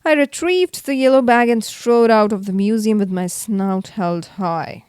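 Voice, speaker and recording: A woman reading a children's story aloud in an expressive, theatrical way. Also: mid-range voice, measured pace, clear, resonant tone, no room sound, studio quality. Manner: theatrical, acts out the exclamations. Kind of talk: expressive read-aloud narration for kids.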